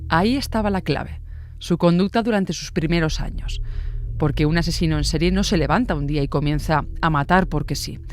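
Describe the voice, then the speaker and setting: A woman narrating a true-crime podcast in a steady, explanatory way. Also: low voice, fairly quick, slight background noise.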